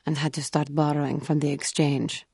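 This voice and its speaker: A woman playing an earnest, wounded alien warrior in a video game, speaking formally and gravely in measured phrases. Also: deep voice, flat delivery, mellow, no room sound, studio quality, heavily acted. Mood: grieving. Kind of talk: video game character dialogue.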